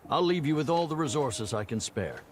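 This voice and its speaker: An actor playing a sober, formal army general in a fantasy game, speaking earnestly and deliberately. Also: male voice, fairly high pitch, expressive, soft background music, natural voice. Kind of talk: dramatic fantasy game cutscene acting.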